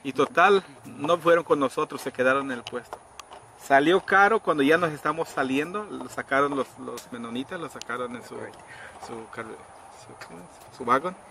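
A middle-aged man casually telling a story outdoors, acting out quoted people with sudden high pitched voices. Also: normal speed, gravelly. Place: outdoors under trees in a rural yard. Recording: outdoor noise in background.

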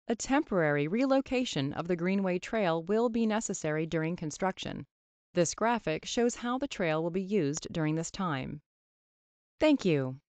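A woman reads a scripted presentation in an even, formal manner. Her voice sits at a middle pitch and is recorded dry and close. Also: dry recording.

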